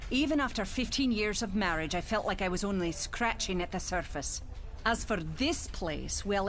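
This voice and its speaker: A woman's voice: fairly low pitch, slight background noise, lightly acted. A woman playing a middle-aged doctor and ex-wife in a motion comic, sardonic and wry. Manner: sarcastic, wry. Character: sardonic, bitter Scottish doctor, mother and ex-wife.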